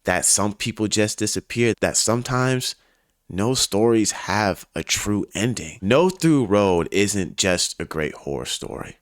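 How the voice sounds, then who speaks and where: A man hosting and narrating a scripted video essay, telling the story in a dramatic, emphatic way with deliberate pauses. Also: fairly low pitch, lively intonation, no room sound, studio quality.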